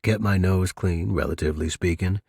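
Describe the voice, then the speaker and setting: A weary middle-aged tough guy played by a male reader tells his own noir story in a very deep, husky voice. He is wry and clipped in a hardboiled way, with tender regret underneath. The recording is dry and close. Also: moderate pace, a little mellow, no echo, heavily acted. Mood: wry reminiscence. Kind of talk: first-person noir audiobook narration.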